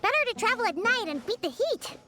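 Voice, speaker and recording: A woman voicing a small, childlike fairy companion in a video game, squeaky, chatty, excitable and easily nervous. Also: fast talker, heavy background noise. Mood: excited. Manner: refers to self in third person, exclaiming. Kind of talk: video game character dialogue.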